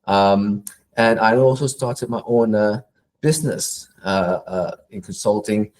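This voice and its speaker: A middle-aged man from Malaysia, a professor answering interview questions in a thoughtful way, often pausing and repeating words as he goes. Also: lowish voice, a little mellow, slight background noise.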